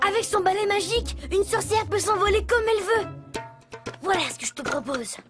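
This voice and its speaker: A performer voicing an excitable, imaginative young child in a children's cartoon, exclaiming dramatically. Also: very high voice, a little fast, over music. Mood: conspiratorial.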